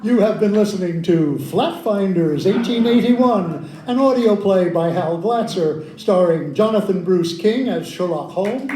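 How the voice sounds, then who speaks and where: High-pitched man announcing credits. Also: measured pace, hardly any pauses, warm tone. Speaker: playwright reading closing credits. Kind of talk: scripted announcement. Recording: echoey, noisy room, crowd, distant mic.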